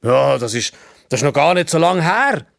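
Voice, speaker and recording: A man voicing a curious, easily flustered old-fashioned man from the past in a children's story, with a Swiss accent and halting, repetitive phrasing. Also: on the high side, sing-song, vocal fry, no room sound, clean studio sound.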